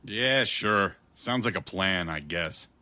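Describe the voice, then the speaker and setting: A hard-boiled gun for hire acted by a man in a noir audio drama. He is blunt and wry, with a fairly low voice and a forceful, cutting tone. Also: expressive, slow, full character voice. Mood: baffled, blunt. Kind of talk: audio drama acting and first-person noir narration.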